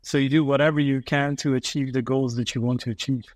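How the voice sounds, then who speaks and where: A man's voice: mid-range voice, steady tone, fast talker, dry recording. A man from Afghanistan, a guest on a podcast, talking casually and acting out investors' thinking in quoted asides.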